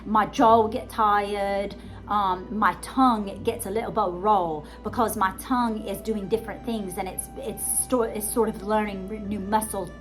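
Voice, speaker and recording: A woman's voice: medium pitch, fairly even intonation, normal speed, soft background music, rough recording. A woman from the United States with an adopted British accent, speaking in a rambling, emphatic, conversational way as she gives a casual self-help monologue. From United States, born in Georgia. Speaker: vlogger, self-help coach.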